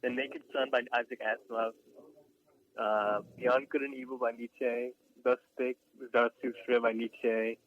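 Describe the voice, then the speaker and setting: A man's voice: mid-range voice, level tone, a little slow, slight background noise. A man calling in to a radio show, speaking casually. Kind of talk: casual listing and questioning. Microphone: phone call. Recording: dull lo-fi call-in line.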